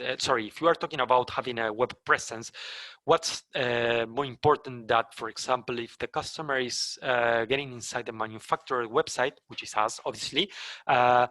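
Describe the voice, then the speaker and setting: A man whose first language is Spanish, giving a sales training webinar in a halting way with many fillers and self-corrections. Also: medium pitch, pushed and bright, dry recording. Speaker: partner program trainer.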